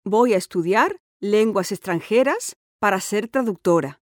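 A woman's voice: mid-range voice, lively intonation, unhurried, no room sound, studio quality, close to an everyday voice. A woman voicing an eager teenage schoolgirl. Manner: eager, chatty and confident. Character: cheerful sporty sixteen-year-old schoolgirl. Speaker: interviewee in an exam listening dialogue. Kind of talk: scripted dialogue for a listening test.

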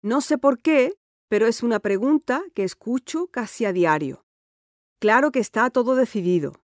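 A woman's voice: a little high, lively intonation, normal speed, no echo, studio quality, lightly acted. A woman playing a cheerful, sporty sixteen-year-old schoolgirl in a scripted listening-test dialogue, talking in an eager, chatty and confident way. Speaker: interviewee in an exam listening dialogue.

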